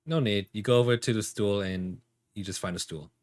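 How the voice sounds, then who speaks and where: Low-pitched man running a tabletop game. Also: fairly quick, darkish tone. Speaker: game master. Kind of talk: casual tabletop game narration.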